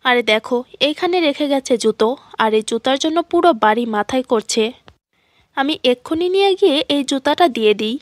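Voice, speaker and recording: Caring, high-voiced aunt acted by a woman. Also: animated, moderate pace, no room sound, clean studio sound. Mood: mildly exasperated. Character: kind, caring aunt, gentle wronged wife.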